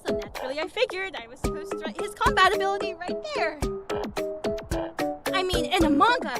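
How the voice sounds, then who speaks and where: An anime schoolgirl speaks in an extremely high voice over loud music. She is scatterbrained and earnest, with a naive, melodramatic confidence. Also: animated, a little fast. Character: earnest, scatterbrained, manga-obsessed teenage schoolgirl. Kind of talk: anime fan dub acting. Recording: music behind the voice.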